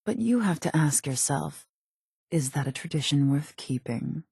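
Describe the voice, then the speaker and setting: A woman's voice: low-pitched, animated, measured pace, gravelly, mellow, breathy edge, no echo, full character voice. A woman playing a cool, calculating raider gang boss in a video game, speaking in a composed, haughty, articulate way with dry menace. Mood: cool, scheming. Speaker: gang leader in a post-apocalyptic video game.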